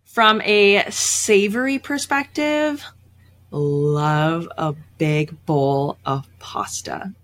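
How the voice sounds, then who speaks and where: A woman's voice: sits low, very slow. A woman from the Chicago suburbs, a sports dietitian, chatting on a podcast in a bubbly, emphatic way with lots of "like.". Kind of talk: casual podcast interview.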